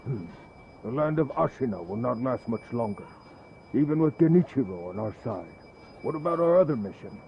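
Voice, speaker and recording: An actor playing a commanding soldier who urgently plans the next move. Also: male voice, medium pitch, lively intonation, normal speed, creaky, warm tone, slight background noise, poor audio. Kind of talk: video game cutscene acting.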